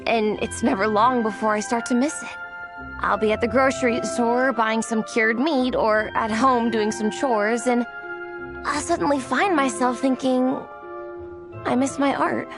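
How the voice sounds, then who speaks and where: Reflective young artist girl acted by a woman, high and sincere, game dialogue over music. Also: a little slow, close to an everyday voice. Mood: wistful, doubtful. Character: earnest, self-doubting young woman artist. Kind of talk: video game voice acting.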